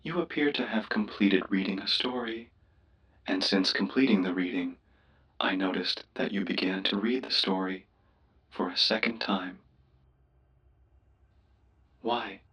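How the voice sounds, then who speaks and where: A man voicing a polite, cautious starship AI in a sci-fi game, speaking in a formal, deferential, measured way. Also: deep voice, breathy edge, distant mic, highs cut off. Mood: curious. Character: polite, cautious male ship computer AI, formal and curious. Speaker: ship's computer voice assistant. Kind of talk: scripted sci-fi game voice pack.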